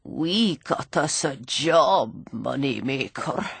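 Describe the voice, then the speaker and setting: A drunken, grimy old street musician with a cracked, ragged voice, as a woman reads him in a storybook. He drawls slowly and sneers, pitched deep and low under his breath, recorded dry and close. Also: animated, creaky, husky, no echo, exaggerated voice. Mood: sly, wheedling.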